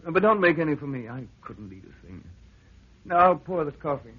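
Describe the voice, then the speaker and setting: A tinny old radio recording of a troubled, polite man hiding something. His voice is rough-edged and hesitant, anxious and evasive in classic radio drama style. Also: on the high side, sing-song, fairly quick. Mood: uneasy, evasive. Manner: hesitant, anxious, throat-clearing. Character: troubled, evasive lake-cabin owner, polite male friend.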